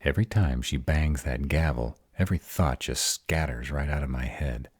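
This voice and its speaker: Fantasy roleplay were-dragon, male actor: rumbling very low, husky, grumbly and easily sidetracked. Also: moderate pace, no echo, studio quality. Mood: distracted, grumbling. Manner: grumbling, conversational asides. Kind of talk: audio roleplay fantasy drama.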